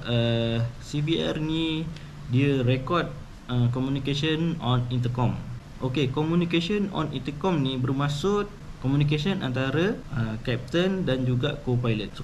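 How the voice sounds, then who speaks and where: Malaysian man explaining casually, noisy recording. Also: medium pitch. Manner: informal, conversational, sprinkles tag questions. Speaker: YouTube channel host. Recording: clearly noisy background ambience.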